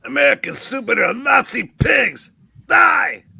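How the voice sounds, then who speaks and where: A man's voice: very high-pitched, very animated, unhurried, gravelly, sharp tone, husky, dry recording, raised voice, exaggerated voice. A man voicing a furious, menacing mob rioter in a comic book dramatic reading. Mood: furious ranting.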